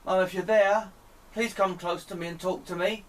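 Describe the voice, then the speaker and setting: A man speaking in deliberate, pleading short phrases, putting questions to a spirit as an amateur experimenter. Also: sits high, steady tone. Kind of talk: slow spoken questions addressed to a spirit. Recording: distant mic, clear background noise.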